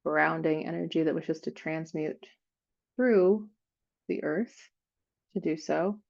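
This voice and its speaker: A woman leading an improvised guided meditation, speaking in gentle, unhurried phrases with frequent pauses. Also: deep voice, creaky, lo-fi sound.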